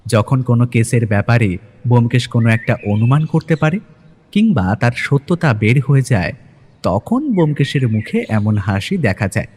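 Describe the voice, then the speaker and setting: A man's voice: medium pitch, very animated, moderate pace, a little mellow, husky. A man narrating a detective story in the first person, in a dramatic scripted audio-story style. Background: background music.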